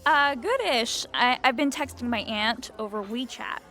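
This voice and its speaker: A woman's voice: fairly high pitch, lively intonation, normal speed, sharp tone. An actress playing a blunt, nosy, foul-mouthed young woman in a comedy audio drama, talking in casual slang. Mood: blunt, impatient.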